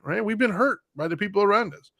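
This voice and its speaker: A man with a slightly high voice, co-hosting a podcast. He talks in a reflective, easygoing way, stammers on his restarts and laughs often. The sound is dry and close. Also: lively intonation, quick pace, a bit bright, dry recording. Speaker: podcast co-host. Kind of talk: casual conversation.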